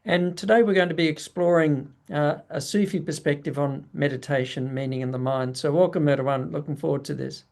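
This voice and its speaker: A male host speaks over a video call with a slightly raised pitch. He introduces his guest and asks questions in a friendly, hesitant way, full of pauses and fillers. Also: creaky, darkish tone. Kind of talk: interview hosting.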